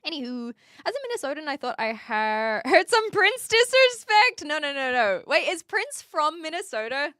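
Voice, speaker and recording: Woman streamer, aussie british mixed accent, bright crisp voice, dry close sound, chatty n jokey, says words carefully. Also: high voice, big pitch swings, normal speed, no room sound. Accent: neutral Australian-British mix. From New Zealand, later Australia and the UK. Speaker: livestream host. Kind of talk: casual livestream chat with viewers.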